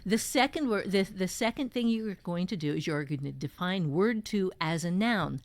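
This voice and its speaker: A woman teaching a workshop in a conversational, enthusiastic way, explaining step by step with emphatic, expressive delivery. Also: fairly low pitch, normal speed, dry recording. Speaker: writing teacher and workshop host.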